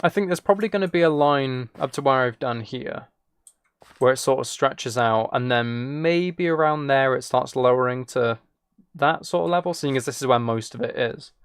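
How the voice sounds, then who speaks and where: Gravelly-voiced man chatting through a game. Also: medium pitch, moderate pace, no room sound. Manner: self-mocking, playful. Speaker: streamer. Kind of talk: casual gameplay chat, thinking aloud.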